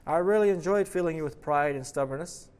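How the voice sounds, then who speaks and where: A man voicing a cruel, possessive demon who mocks and taunts in a steady storytelling voice. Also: a little high, fairly quick, close to an everyday voice. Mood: taunting. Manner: mocking, taunting, shrieking. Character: mocking, clinging male demon, cruel and possessive.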